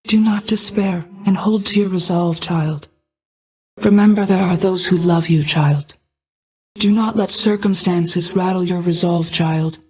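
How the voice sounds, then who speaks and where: A woman voicing a regal, ageless, motherly goddess of night in a video game, speaking in formal, measured, archaic phrasing. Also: lowish voice, steady tone, husky, rough recording. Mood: gentle, solemn. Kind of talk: scripted video game voice acting.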